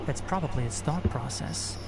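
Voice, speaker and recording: An actor playing a youthful forest ranger in a video game, composed, precise and dryly bossy. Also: male voice, a little high, normal speed, creaky, background noise. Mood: reflective. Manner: precise, articulate, matter-of-fact. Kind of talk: video game character acting. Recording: game sounds behind the voice.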